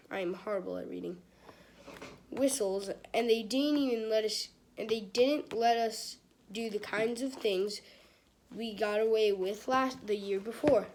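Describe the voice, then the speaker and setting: A woman's voice: on the high side, darkish tone. Casual woman reading aloud, noisy recording. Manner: stumbling reading, informal exclamatory commentary. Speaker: amateur reader of a children's book. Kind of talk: casual read-aloud with chatty asides. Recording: clearly noisy home recording with clicks.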